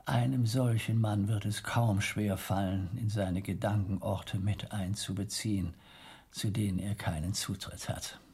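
An actor playing a stern, pious old medieval abbot in a German radio drama, speaking in a formal, rhetorical and measured way. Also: male voice, fairly low pitch, steady tone, a little mellow, slightly rough, exaggerated voice. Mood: formal, courteous.

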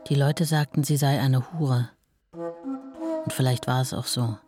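An actress voicing a bitter wife who recalls her husband's decline, read slowly and with measure for a documentary. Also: extremely low pitch. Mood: grave. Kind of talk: documentary reading of a quote. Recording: distant voice over music. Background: flute music.

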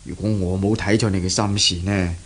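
A man's voice: low-pitched, flat delivery, fairly quick, slight background noise, close to an everyday voice. An actor playing an indulgent, wry middle-aged father in a 1970s radio drama, teasing patiently in Hong Kong Cantonese. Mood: amused, teasing.

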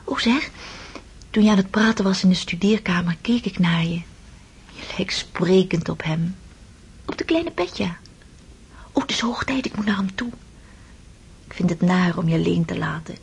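A woman's voice: fairly low pitch, moderate pace, long pauses, heavily acted. An actress playing a tender, devoted young wife and mother in a radio drama, with a whispery, intimate delivery. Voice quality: breathy, whispery.

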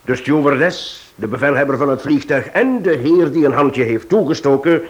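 An actor playing a courteous, firm chief inspector in a radio drama, speaking in a measured, formal way. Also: male voice, medium pitch, lightly acted. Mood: measured, planning.

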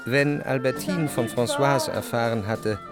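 Measured, introspective male narrator. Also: medium pitch, expressive, over loud music. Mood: intimate, wistful. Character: reflective, melancholic male narrator recalling his past life. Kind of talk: literary radio drama narration.

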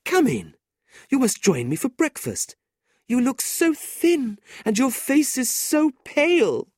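A man's voice: extremely high pitch, wildly swooping pitch, slow pace, dry recording, clean studio sound, pitched above the performer's own voice, heavily acted. A man putting on a fussing motherly landlady. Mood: happy. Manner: cheerful, motherly. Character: kindly middle-aged woman, warm and fussing.

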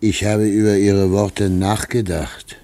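A man's voice: fairly low pitch, little pitch movement, slow pace, mellow. An actor playing an upright, stubborn governor and father in a radio drama, speaking formally and with authority. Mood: measured, candid. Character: upright, stubborn male governor and family patriarch. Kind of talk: German radio drama acting.

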